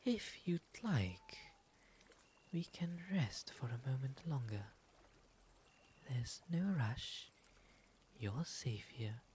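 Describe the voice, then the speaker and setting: Guy doing a soft breathy owl demon gentleman, asmr roleplay, real slow n soothing, polite n romantic, some background ambience. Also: medium pitch, sing-song. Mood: tender, soothing. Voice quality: soft, breathy, often whispery. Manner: soothing, genteel, unhurried formal phrasing. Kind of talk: ASMR roleplay, soft half-whispered speech. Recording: noticeable ambient background noise.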